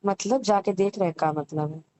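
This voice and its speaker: A woman's voice: medium pitch, fairly quick, warm tone, rough recording. Woman chatting casually on the phone. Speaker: caller. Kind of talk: casual private phone call.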